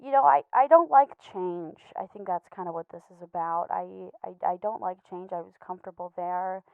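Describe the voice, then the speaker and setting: A woman's voice: fairly low pitch, smooth, dry recording, close to an everyday voice. Anxious pet fish played by a woman, tinny phone sound. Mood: anxious, stuck. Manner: hesitant, stammering, halting, apologetic. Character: anxious, rambling, earnest male pet betta fish, gently judgmental. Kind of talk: scripted comedy monologue as a voicemail.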